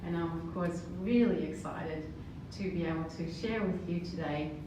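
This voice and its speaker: A middle-aged woman from the Netherlands addresses a live audience as a motivational business speaker. Her voice is on the low side and heard from a distance, in a very echoey space. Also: normal speed. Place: roomy live event venue. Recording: echoey, distant, noisy room recording.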